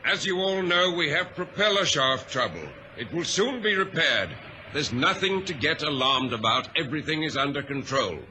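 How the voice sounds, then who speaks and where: An actor in a vintage radio drama playing an authoritative ship's captain who gives clipped, formal orders. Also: male voice, on the high side, expressive, normal speed, pushed and bright, poor audio. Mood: commanding, reassuring. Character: commanding male captain of a troubled passenger ship, privately uneasy. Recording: old radio drama recording, faint noise.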